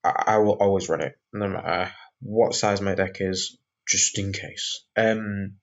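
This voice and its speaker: A man's voice: sits low, fairly even intonation, a little slow, creaky. A young man with a sore throat, sounding groggy, rambling through a casual deck walkthrough with lots of ums and uhs. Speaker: card game deck reviewer. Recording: dull lo-fi recording.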